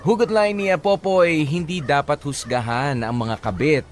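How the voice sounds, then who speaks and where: A man's voice: on the high side, moderate pace, a little hiss or hum. A man hosting a radio show as a DJ, bantering in an informal, casual way. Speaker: radio DJ and host. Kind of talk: casual radio host chat.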